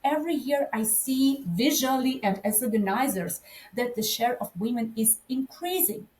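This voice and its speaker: A woman's voice: sits high, expressive, normal speed, darkish tone, low-quality recording. A woman in her forties from Ukraine, speaking English with a Ukrainian accent as a guest, enthusiastic and full of "like"s. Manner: enthusiastic, filler-heavy speech with many "like"s. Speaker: guest, event organizer. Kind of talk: conversational interview.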